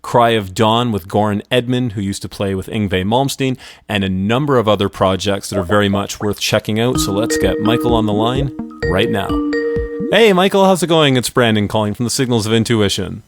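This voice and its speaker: A man's voice: fairly low pitch, lively intonation, brisk, soft background music. A man in his thirties from Canada, hosting a casual radio interview in a chatty, upbeat way. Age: 30s. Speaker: radio show host.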